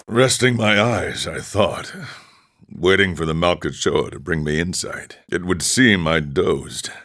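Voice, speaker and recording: A man playing a serene, world-weary wandering monk in a video game, speaking in a measured, formal, philosophical manner. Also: deep voice, a bit bright, no echo, clean studio sound. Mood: wry, unbothered. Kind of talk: video game character dialogue.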